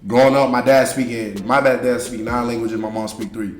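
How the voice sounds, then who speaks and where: A man's voice: mid-range voice, little pitch movement, a little fast, crowd noise. A young man with a New York accent whose first language is French, talking as a guest in a casual, streetwise way. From Brooklyn, New York. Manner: loose, streetwise, many fillers. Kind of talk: casual chat. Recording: roomy echo.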